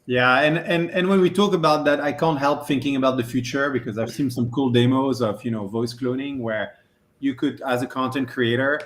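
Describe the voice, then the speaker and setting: A man's voice: medium pitch, fairly even intonation, normal speed, slight background noise. French-accented man chatting with many fillers. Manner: hesitant, many fillers and restarts. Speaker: guest panelist. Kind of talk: casual video-call chat.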